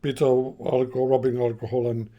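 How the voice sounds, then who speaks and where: A man's voice: medium pitch, monotone, brisk, mellow, lo-fi sound. A man giving an informal, unscripted hands-on demonstration, thinking aloud in a hesitant way as he explains. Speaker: host of a vintage radio repair channel.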